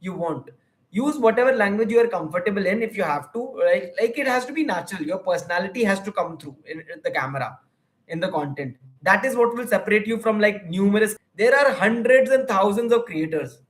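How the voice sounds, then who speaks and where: Young man, Indian English accent, high voice, livestream Q&A, earnest advice, lots of fillers. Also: lively intonation, a little fast. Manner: earnest advice-giving, many fillers and restarts. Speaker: YouTuber and counselor. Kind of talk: livestream Q&A answering viewers.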